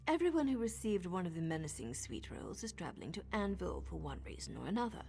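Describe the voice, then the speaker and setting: An actress voicing a sly, seductive assassin in a video game, with a cool, teasing and menacing manner. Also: female voice, on the low side, sing-song, fast talker, gravelly, darkish tone, slight background noise. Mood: wary, determined. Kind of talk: video game character dialogue. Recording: music behind the voice.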